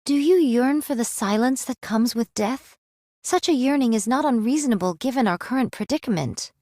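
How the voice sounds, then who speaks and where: A proud android swordswoman speaks in a fairly high voice. Her phrasing is old-fashioned, formal and haughty, with a weary edge. It is game drama acting in a very dry recording. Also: lively intonation, normal speed, a little mellow, no room sound. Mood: grave. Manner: formal, archaic, haughty diction.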